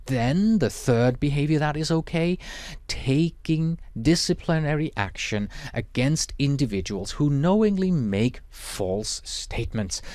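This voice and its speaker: A man's voice: a little high, animated, unhurried, a little mellow, dry recording. Swiss German man, explaining with emphasis. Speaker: project management instructor. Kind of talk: lecture.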